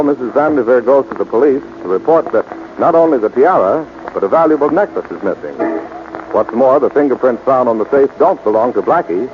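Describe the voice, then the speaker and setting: Male 1940s radio announcer over music. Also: medium pitch. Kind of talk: 1940s radio scripted narration. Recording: dull 1940s radio recording.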